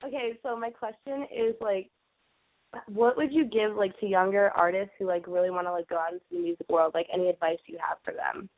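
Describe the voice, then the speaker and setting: A woman's voice: medium pitch, fairly even intonation, a little fast, no room sound, phone-quality sound. A woman calling in while sick, chatting casually and informally. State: sick with a cold or similar. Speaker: fan caller. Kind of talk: casual chat.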